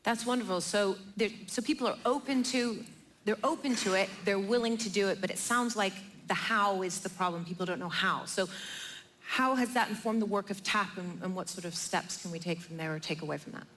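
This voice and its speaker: A woman's voice: medium pitch, a little fast, a bit bright, slight background noise. A woman moderating a live panel discussion on stage in an encouraging, affirming way. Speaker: panel host. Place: live event in a theatre.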